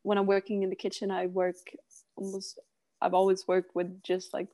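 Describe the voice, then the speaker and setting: Gravelly-voiced Swedish-native woman guest. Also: medium pitch, monotone, moderate pace, dark tone. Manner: hesitant, frequent "you know" fillers. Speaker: guest chef. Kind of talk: casual interview chat.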